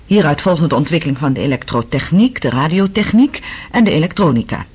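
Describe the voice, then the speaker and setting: A woman's voice: fairly low pitch, darkish tone, husky. A woman acting an earnest scientist in a science-fiction radio drama, explaining in an articulate, thoughtful way. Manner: expository, articulate.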